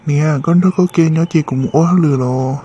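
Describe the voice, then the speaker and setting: An actor voicing a man in an animated family drama, in an amateur cartoon style. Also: on the high side, brisk, husky. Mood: conversational. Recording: dull lo-fi sound, faint noise.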